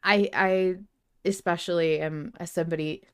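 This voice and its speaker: A woman's voice: on the low side, steady tone, moderate pace, smooth. A woman hosting a podcast, talking casually and earnestly as a fan, open about her feelings. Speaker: podcast host.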